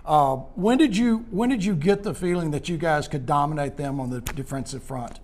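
A man's voice: a little high, moderate pace, a little mellow. Older man hosting a postgame chat. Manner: upbeat, praising, rambling questions. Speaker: postgame interviewer. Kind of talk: postgame sports interview. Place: school clubhouse room.

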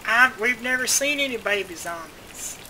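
A gamer chatting casually during play, teasing and bossy, shouting when excited. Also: mid-range voice, normal speed, a little hiss or hum, low-quality recording. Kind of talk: casual gaming chat.

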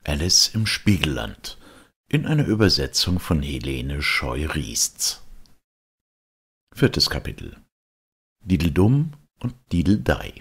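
A man's voice: very deep voice, sing-song, slow pace, long pauses, a bit bright, slightly rough. A man narrating a storybook audiobook expressively from a script.

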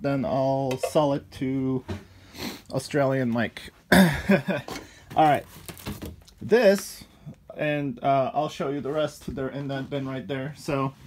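A man's voice: medium pitch, slow pace, long pauses. A man in his thirties giving a casual, rambling walk-through of his collection room, filmed on a handheld phone. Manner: rambling, many ums and uhs, chuckles. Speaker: collector showing his toy pickups. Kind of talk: casual vlog chat. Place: cluttered collection room indoors. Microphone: handheld phone camera, walking around.

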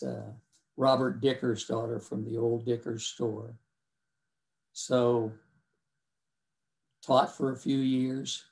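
Older man on a video call, flat even voice, short clipped bits, dry jokes about himself. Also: mid-range voice, gravelly, low-quality recording. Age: 70s. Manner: clipped, dry, self-deprecating phrasing. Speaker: interview guest, Vietnam War veteran. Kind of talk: brisk life-story telling.

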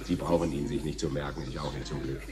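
An actor playing a showy, pompous TV talk show host in a theatrical radio drama style. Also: male voice, very deep voice, level tone, crowd noise. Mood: flustered, smarmy. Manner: theatrical, grandiose, dramatic pauses.

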